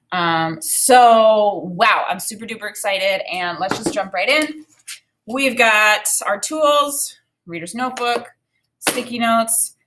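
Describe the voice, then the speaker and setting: A woman in her thirties teaching a read-aloud lesson, enthusiastic and expressive, thinking out loud in a conversational way. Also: mid-range voice, a little hiss or hum. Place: small home room, indoors.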